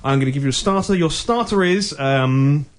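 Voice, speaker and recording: A British man doing playful, excitable comic banter as a radio presenter. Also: fairly high pitch, moderate pace. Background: music.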